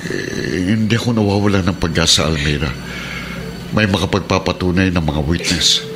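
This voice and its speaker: A man's voice: sits low, normal speed, background noise, natural voice. A man playing a troubled suspended policeman and steadfast husband in a radio drama, grave and earnest. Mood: earnest, hopeful. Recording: radio drama over prominent music.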